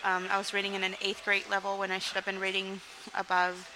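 Young woman from Mexico, interview guest, open and rambling, steady mid-range pitch, noisy recording. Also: fast talker. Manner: candid, rambling, frequent "like" and "um", laughs between sentences. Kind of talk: casual interview storytelling.

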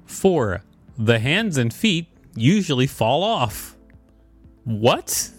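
A man's voice: mid-range voice, big pitch swings, drawn-out words, slightly rough, faint music behind. A man co-hosting a podcast, mixing casual chat with reading an article aloud. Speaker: podcast co-host.

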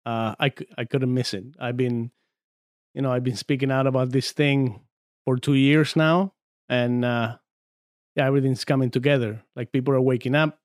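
A man's voice: mid-range voice, steady tone, moderate pace, dry recording. A man in his forties from Argentina, a podcast guest chatting casually in a studio, telling stories with many fillers and restarts. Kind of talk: casual chat.

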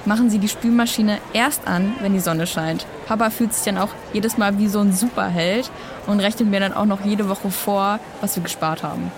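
A chatty young female activist played by a woman talks casually at medium pitch. Clear ambient noise is behind her, in the manner of a naturalistic audio drama. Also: fairly even intonation, normal speed, natural voice. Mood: amused, casual. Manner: casual, anecdotal.

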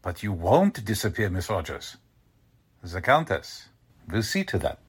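A man plays a Nazi German villain in an old-time radio drama. His voice is husky and very slow, with pitch that swoops and sinks. He stays coldly courteous and suave while he threatens. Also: sing-song, exaggerated voice. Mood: smooth menace. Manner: deliberate, suave, veiled threats. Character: cold, courteous Nazi German agent, menacing male kidnapper.

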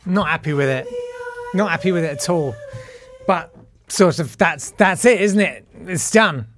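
A man's voice: high-pitched, a little slow, a bit bright, a little hiss or hum. A man hosting a casual online monologue, rambling and self-deprecating with lots of restarts. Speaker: YouTube host.

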